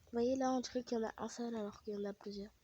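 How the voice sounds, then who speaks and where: A woman's voice: on the high side, fairly even intonation, rapid-fire, dark tone, husky, muffled recording. A woman with a French accent and a stuffy nose from a cold, chatting casually as she plays a game, easily annoyed and muttering asides. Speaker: gaming vlogger.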